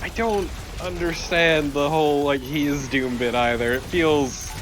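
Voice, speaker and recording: A man's voice: a little high, fairly even intonation, a little slow, fairly bright tone, slight background noise. A man bantering casually and wryly as co-commentator on a video game. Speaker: let's play co-commentator. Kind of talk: casual banter over gameplay.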